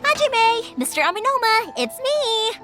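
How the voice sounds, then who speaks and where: Casting: a chatty, bubbly young female game character, very high girlish voice, lively swings, music underneath. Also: measured pace, exaggerated voice. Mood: bright, eager. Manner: bubbly, talkative, expressive. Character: cheerful, chatty young woman fireworks maker. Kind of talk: anime-style game voice acting.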